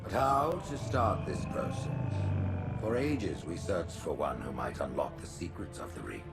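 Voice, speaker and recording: A scheming alien high priest holds forth pompously in a cinematic game. The low voice swings widely in pitch, with music clearly behind it. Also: male voice, very animated, measured pace, exaggerated voice. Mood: solemn, grandiose. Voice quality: deep, creaky. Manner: pompous, theatrical, swooping emphasis. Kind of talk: cinematic science-fiction game acting.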